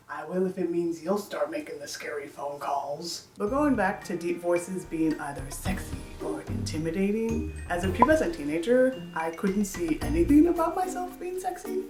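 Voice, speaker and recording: A young woman with a deep contralto voice, talking casually and dryly to camera in a self-mocking vlog. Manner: dry, self-deprecating humor. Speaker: YouTuber. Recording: echoey room, mic at a distance, music behind.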